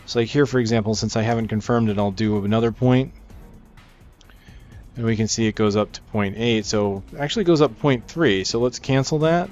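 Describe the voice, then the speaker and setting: A man's voice: medium pitch, a little fast, a little mellow. A man giving casual walkthrough commentary on a video game, as the narrator of a gaming video. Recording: slightly dull mic, game music behind. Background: video game music.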